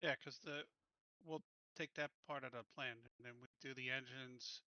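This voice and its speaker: Very bright, forceful man at a tabletop game. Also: medium pitch, fairly even intonation, normal speed, dry recording, muffled recording. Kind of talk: casual game discussion.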